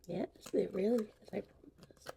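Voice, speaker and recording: A woman playing a gentle, whimsical witch in an ASMR roleplay, speaking in a soft, breathy, soothing whisper. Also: medium pitch, slight background noise, lightly acted. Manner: slow, soothing, unhurried. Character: gentle, whimsical female witch teaching potion making. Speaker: ASMR performer.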